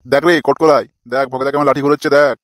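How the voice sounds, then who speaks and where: A man's voice: medium pitch, little pitch movement, very fast, lightly acted. A man voicing an exasperated, foul-mouthed older village father in a comic cartoon, scolding crudely. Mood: impatient explaining.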